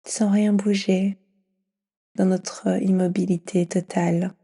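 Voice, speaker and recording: A woman leading a guided yoga nidra meditation, speaking softly and soothingly and often trailing into a breathy whisper. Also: mid-range voice, level tone, slow pace. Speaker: yoga nidra teacher guiding a session.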